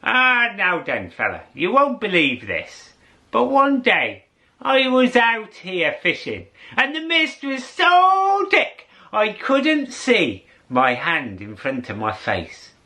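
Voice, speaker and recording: A man acts out an old fisherman spinning tall tales for a story. The voice is pushed high and swoops about, folksy and boastful, insisting earnestly on every wild claim. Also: wildly swooping pitch, takes it slowly, pushed and bright, full character voice. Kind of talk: oral storytelling.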